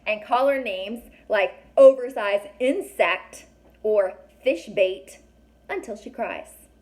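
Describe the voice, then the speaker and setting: A woman reading the lines of a sarcastic, worrying young boy in a storybook, with a deadpan grumble. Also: mid-range voice, takes it slowly.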